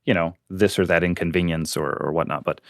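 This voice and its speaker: Deep-voiced man, chatty and plainspoken. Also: steady tone, no room sound, clean studio sound. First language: Ukrainian. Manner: explains fast and plainly with fillers and restarts. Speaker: guest, volunteer on a missing-persons website. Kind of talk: casual interview talk.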